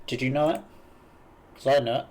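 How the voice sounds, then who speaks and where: A man's voice: medium pitch, steady tone, moderate pace, a little mellow, slight background noise. A man streaming a video game, thinking aloud as he works through puzzles and reads them out. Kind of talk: live game commentary, reading puzzles aloud. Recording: game music behind the voice. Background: video game music.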